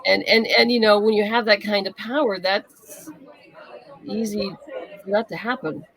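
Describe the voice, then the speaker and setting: A woman chats casually as a guest on a show. Her voice sits at a medium pitch and has a clear creak to it. The delivery is informal and unscripted. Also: steady tone, moderate pace, fairly bright tone, poor audio. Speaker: interview guest, writer. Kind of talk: casual chat.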